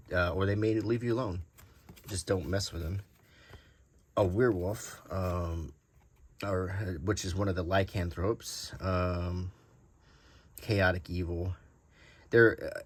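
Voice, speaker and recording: Low-voiced man in a casual show-and-tell. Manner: rambling, unhurried, thinking aloud. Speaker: host showing vintage game cards.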